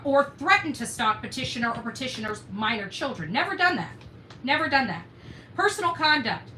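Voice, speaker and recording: A woman's voice: sits high, sharp tone. A woman streaming to her audience, indignant, combative and emphatic as she reads documents aloud and comments on them. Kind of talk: livestream rant, reading documents aloud with commentary. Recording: roomy echo, distant mic, faint noise.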